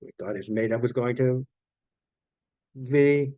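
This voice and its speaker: A man teaching an online class in an informal lecture style, explaining things haltingly and often correcting himself mid-sentence. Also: mid-range voice, dark tone, dry recording, highs cut off. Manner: halting, explanatory, with repeated phrases and self-corrections. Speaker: online course teacher of academic English writing.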